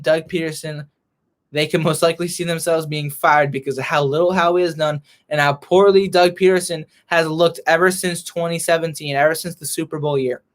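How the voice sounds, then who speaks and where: Young guy ranting about his team, high voice, kinda hoarse, fired up. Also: fairly bright tone. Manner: frustrated, heated rant. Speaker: sports fan vlogger. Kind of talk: ranting fan reaction, reading chat.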